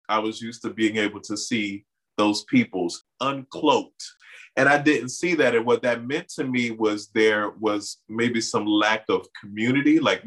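A man from the Bahamas raised in Miami, a guest in an interview, telling stories with lots of "you know" fillers. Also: on the low side. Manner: animated storyteller, many "you know" fillers. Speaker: artist and community organizer guest. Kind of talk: interview conversation.

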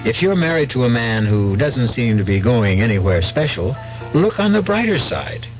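A man's voice: medium pitch, very animated, moderate pace. A man hosting a 1970s radio drama, addressing listeners in wry, scripted narration. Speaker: host. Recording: muffled vintage radio broadcast. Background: music.

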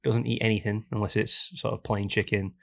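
A man hosting a casual podcast, hoarse and raspy from a cold, ranting jokily about things that annoy him. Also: lowish voice, flat delivery, fairly quick. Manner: jokey, complaining rants. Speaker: podcast host.

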